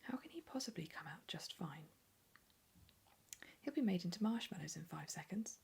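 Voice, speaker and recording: A woman's voice: lowish voice, animated, moderate pace, vocal fry, a little mellow, slightly rough, exaggerated voice. A woman in a whispered storybook reading, voicing a frantic, scolding mother.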